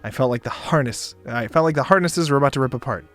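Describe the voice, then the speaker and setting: A man doing a dramatic narration of a visual novel for a stream, expressive, sometimes stumbling and joking. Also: mid-range voice, quick pace. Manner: expressive, stumbles and rereads lines. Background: music behind the voice.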